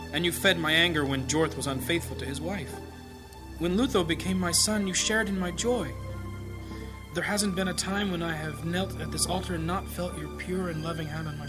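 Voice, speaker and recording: Guy acting as anxious small town priest praying, highish voice, pleading n earnest, audio drama w music under it. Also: normal speed, natural voice. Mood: pleading, hurt. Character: troubled small-town priest, devout husband and father. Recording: music behind the voice.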